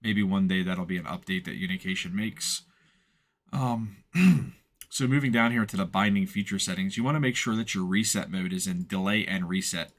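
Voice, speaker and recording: Low-pitched man giving a tutorial. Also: normal speed. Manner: informal, explanatory, matter-of-fact. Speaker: instructor, software tutorial presenter. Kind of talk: unscripted step-by-step screen tutorial.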